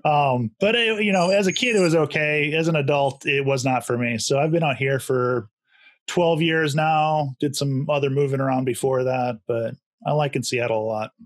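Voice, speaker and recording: A man's voice: medium pitch, no echo. Man hosting a casual video-call interview. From Wisconsin, raised in Florida. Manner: friendly, quick interjections. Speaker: podcast host. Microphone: video call with earbuds.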